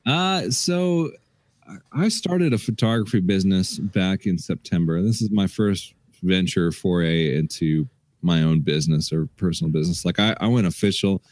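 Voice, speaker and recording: Podcast co-host, young man, deep voice, laid-back storytelling, lots of restarts, dry studio sound. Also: moderate pace, no room sound. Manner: relaxed, rambling, restarts a lot. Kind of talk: casual chat.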